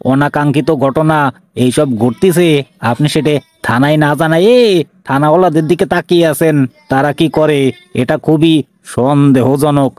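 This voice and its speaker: A blunt police inspector from a cartoon, voiced by a man, with a Bangladeshi accent and a slightly high male voice. He talks in an officious, sardonic way with menace underneath, recorded close and dry. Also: normal speed, darkish tone, dry recording, natural voice. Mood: accusing. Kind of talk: cartoon dialogue.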